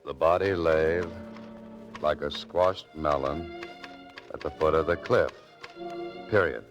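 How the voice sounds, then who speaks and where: A cool, kindly writer-sleuth in a 1940s radio drama. His voice is extremely deep, his delivery wry and understated. The recording is muffled, like old radio. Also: takes it slowly, over music, exaggerated voice. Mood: wry, musing.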